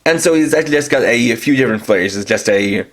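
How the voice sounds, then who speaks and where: A man's voice: mid-range voice, lively intonation, fast, very noisy. A man reviewing toys, walking through each feature in a methodical, step-by-step way. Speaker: toy reviewer. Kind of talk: toy review demonstration.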